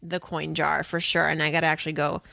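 A woman's voice: low-pitched, little pitch movement, quick pace, vocal fry, bright, forceful tone, no echo. A woman hosting a podcast, asking questions in a chatty, curious way. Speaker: podcast host. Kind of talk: casual interview chat.

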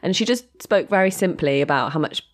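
A woman's voice: lowish voice, lively intonation, brisk. A British woman co-hosting a podcast, chatting casually in an effusive, gushing way. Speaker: podcast co-host.

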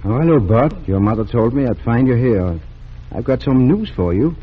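An actor playing a kindly middle-aged town doctor in a 1930s radio drama, speaking in a gentle, reassuring way. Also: male voice, medium pitch, expressive, normal speed, breathy edge. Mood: calm. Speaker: doctor character. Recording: dull lo-fi old radio recording.

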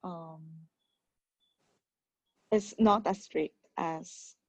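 A woman from the Philippines with a Filipino accent, speaking hesitantly with many pauses in casual conversation and mixing in Tagalog words. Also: on the low side, fairly even intonation, darkish tone, dry recording, poor audio. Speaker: new immigrant.

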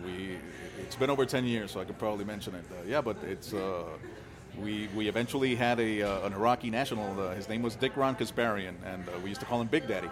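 Male interview guest, rapid casual delivery, hesitant and jokey. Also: lowish voice, crowd noise. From Puerto Rico. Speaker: guest, brewer. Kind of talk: casual storytelling in a podcast interview.

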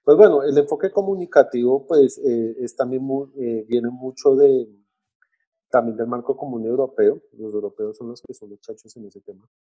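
A man, co-hosting a casual talk about language teaching, halting with restarts and fillers but getting lively when he argues. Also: medium pitch, lo-fi sound. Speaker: co-host discussing language teaching. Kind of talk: casual podcast chat.

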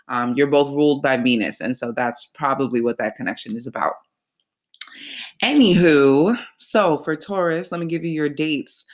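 A host with a congested, nasal voice giving a casual, rambling astrology talk with many fillers. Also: medium pitch.

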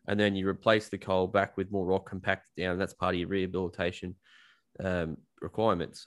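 Low-voiced Australian man, dry close sound. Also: no echo. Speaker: podcast guest, coal miner. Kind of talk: casual chat, explaining his work.

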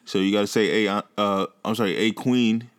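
A man co-hosting a casual gossip chat, calm, reading quotes aloud and teasing dryly. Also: lowish voice, a little fast, smooth. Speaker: podcast co-host.

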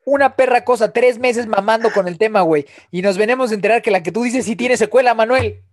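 Mexican guy hosting a podcast w/ his buddies, high voice, talks fast, teasing and swearing a lot. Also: no room sound, clean studio sound. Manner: teasing, swears freely, quick banter. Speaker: podcast host. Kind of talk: casual chat.

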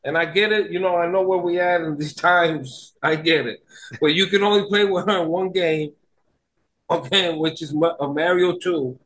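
A man chatting casually as a podcast guest, excitable and playful, with slangy talk. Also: a little high, moderate pace, low-quality recording. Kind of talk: casual chat.

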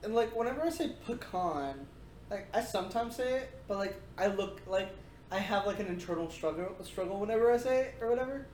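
A woman's voice: mid-range voice, expressive, a little fast, a little mellow. A woman co-hosting a podcast in casual chat, rambling with lots of fillers. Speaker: podcast co-host. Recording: echoey room with faint noise.

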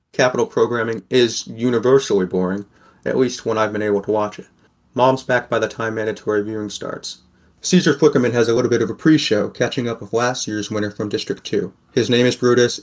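A man's voice: fairly low pitch, natural voice. A man playing a wry, protective sixteen-year-old boy from a poor district, narrating a scripted audio drama in the first person.

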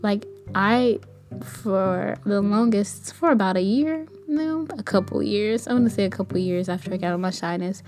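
A young woman with a light, soft voice talking casually in a rambling, candid personal podcast monologue. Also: mid-range voice, lively intonation, moderate pace, soft background music. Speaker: podcast host; kindergarten teacher.

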